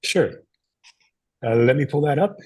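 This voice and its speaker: A man's voice: on the high side, expressive, a little slow, a little mellow, husky. A man playing a courteous bank teller in his twenties in a script read, businesslike. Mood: polite, businesslike. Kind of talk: dramatic script table read.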